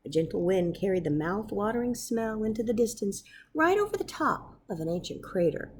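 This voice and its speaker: A woman reading a children's story aloud as narrator, dramatic and expressive. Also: medium pitch, brisk, a little hiss or hum. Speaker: storybook reader. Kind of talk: animated read-aloud narration.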